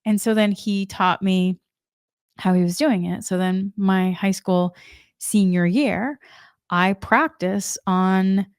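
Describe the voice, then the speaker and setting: A woman's voice: mid-range voice, slow pace, smooth, no room sound. A woman from Massachusetts talks through a spiritual energy forecast, her faint Boston accent showing through. She is earnest and meandering, circling back and restarting when a word trips her up. The recording is dry and close. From Massachusetts, New England. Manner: earnest, rambling with restarts and stumbles. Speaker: spiritual channel host.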